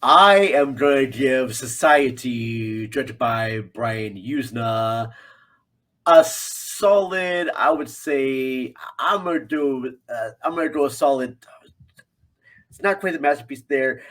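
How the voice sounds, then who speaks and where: A man with a mid-range voice talks casually as a guest reviewer on a film show. His delivery is halting, as if he is working out each opinion while he speaks. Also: medium pitch, a bit bright.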